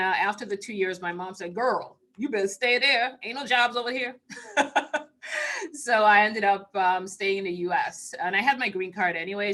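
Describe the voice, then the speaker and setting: A woman's voice: medium pitch, bright, forceful tone. Informal woman in her fifties from Trinidad. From Trinidad and Tobago. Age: 50s. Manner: informal, playful, breaking into chuckles. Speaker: spiritual channeler hosting a live stream Q&A. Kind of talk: casual live-stream chat. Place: online live video call.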